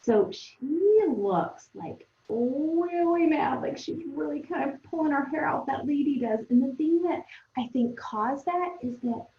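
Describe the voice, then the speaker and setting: A woman teacher thinking aloud for young pupils in a conversational, expressive read-aloud lesson. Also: high voice, unhurried. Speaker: elementary teacher. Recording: roomy, echoing, dull lo-fi sound.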